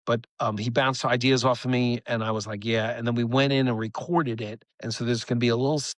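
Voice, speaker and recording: A man's voice: mid-range voice, fairly even intonation, brisk, dry recording. Middle-aged man, rambling and enthusiastic, dry recording. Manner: enthusiastic, rambling, self-interrupting storytelling. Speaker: rock musician, podcast guest. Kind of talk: casual interview chat.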